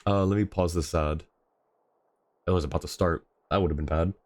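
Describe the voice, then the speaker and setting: A man's voice: low voice, fairly even intonation, fairly quick, slight background noise. A man livestreaming a game in a casual, chatty way, thinking aloud and talking to his chat.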